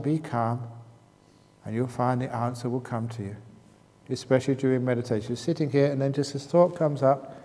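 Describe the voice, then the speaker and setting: A man's voice: medium pitch, warm tone. A man leading a meditation retreat question-and-answer session. He reads out audience questions and answers them with teasing jokes. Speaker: meditation teacher. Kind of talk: retreat Q&A talk. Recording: long hall reverb.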